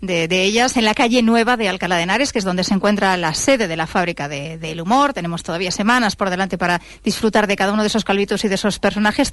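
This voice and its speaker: A woman's voice: medium pitch, no room sound. A woman hosting a radio interview, asking long, fluent questions.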